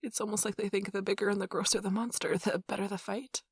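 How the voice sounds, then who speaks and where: A woman playing an affectionate, playful, nerdy young girlfriend in an intimate, soft-spoken ASMR role-play, with a breathy, whispery voice and a tender, teasing manner. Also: mid-range voice, steady tone, quick pace, no room sound, studio quality. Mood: affectionate, chatty.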